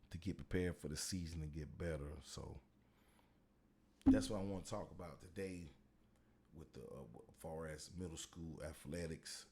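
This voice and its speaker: A man with a very low, deep voice talks through a solo podcast in a loose, rambling way, full of "um" and "you know". The delivery is casual and conversational, with a faint hiss of background noise under it. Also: normal speed. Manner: relaxed, rambling, conversational with frequent fillers. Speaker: podcast host and middle school football coach.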